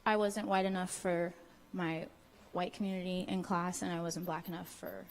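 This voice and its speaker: Young woman interviewee, low voice, hesitant. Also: little pitch movement, normal speed, gravelly. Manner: hesitant, starts with "um", uses "like". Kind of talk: candid personal account.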